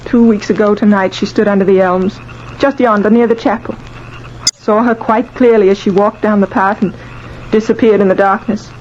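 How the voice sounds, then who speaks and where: Actress as frightened young bride, muffled old radio. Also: female voice, medium pitch, steady tone, darkish tone, natural voice. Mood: frightened, pleading. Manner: halting, earnest, dramatic. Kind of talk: 1950s radio drama acting. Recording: dull, noisy old radio recording.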